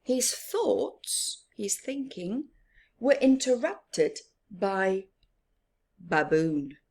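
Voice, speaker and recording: A woman from Africa reads a story aloud for small children. She goes very slowly, stressing words and explaining them like a teacher. Her middle-pitched voice is breathy and often sinks to a near-whisper. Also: big pitch swings, a little mellow. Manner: teacherly, emphatic, asking questions and explaining words. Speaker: children's storytime host and reader.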